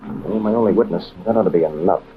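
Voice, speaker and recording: An actor playing a wry, determined American adventurer in an old radio drama, with a hard-boiled, colloquial way of talking. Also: male voice, low-pitched, fast, lightly acted. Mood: wry, urgent. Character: wry, determined American adventurer narrating his own story. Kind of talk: 1950s radio drama acting and narration. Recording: muffled, hissy old radio recording.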